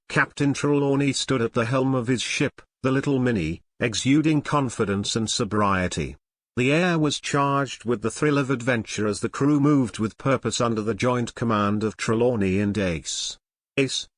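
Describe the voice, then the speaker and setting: A man giving scripted storybook narration of an audio drama in a measured, dramatic way. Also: mid-range voice, fairly bright tone, dry recording, studio quality.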